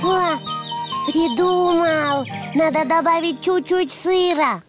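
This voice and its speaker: A very high-pitched, playful little boy donkey from a children's cartoon. The delivery is simple and childlike, at a very slow pace. Loud music plays behind the voice. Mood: playful, busy.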